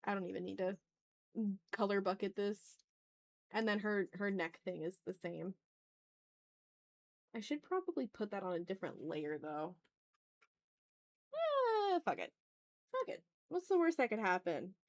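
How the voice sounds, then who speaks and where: A woman's voice: medium pitch, very animated, unhurried, pauses often. A woman chatting casually on an art livestream, thinking aloud with self-deprecating remarks and some swearing. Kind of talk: casual livestream chat while drawing.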